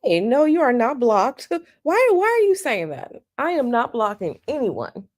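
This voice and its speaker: A woman with a slightly raspy morning voice, chatting casually with viewers on a live stream from home and greeting them by name. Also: a little high, big pitch swings, measured pace, a little mellow. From United States. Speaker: tarot channel host. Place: at home, bedroom.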